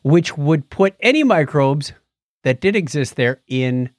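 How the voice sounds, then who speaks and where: A man with a slightly high, very lively voice hosts a casual podcast. His delivery is informal and playful, swinging up and down as he reads items aloud and chats. The sound is dry and close. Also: a little slow, no echo. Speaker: podcast co-host. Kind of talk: casual podcast chat with read-out news.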